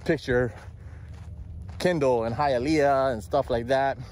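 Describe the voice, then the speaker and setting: Guy in his 40s vlogging his fishing trip, talking to the camera at arm's length outside, kinda out of breath, mid-pitch voice. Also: slow pace, a little mellow, a little hiss or hum. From South Florida. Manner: chatty, self-talking, upbeat. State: out of breath from heat. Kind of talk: casual outdoor vlog. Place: outdoors by a canal and in a truck. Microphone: handheld camera at arm's length.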